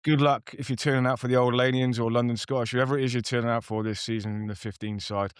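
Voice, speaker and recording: A man's voice: medium pitch, fairly even intonation, fast talker, smooth, no echo, studio quality. A man co-hosting a rugby podcast who has coached players, talking in a friendly, chatty way. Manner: friendly, chatty, warm thanks. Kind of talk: casual podcast chat.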